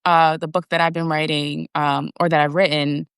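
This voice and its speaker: A woman with a notably low voice explains her thinking in an earnest, steady way. The sound is dry and close, as over a video call. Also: moderate pace, clean tone, dry recording. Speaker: guest and author. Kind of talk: casual conversation on a live video.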